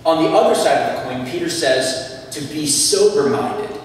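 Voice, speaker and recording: An earnest young man preaches with lively gestures, his voice sitting somewhat high. The sound carries a long church reverb and is picked up from well back in the room. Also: moderate pace, a little hiss or hum. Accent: American. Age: young adult. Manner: earnest, animated, gestures broadly while preaching. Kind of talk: sermon. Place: church sanctuary. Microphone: lectern mic, camera at back of room. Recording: echoey church from far off.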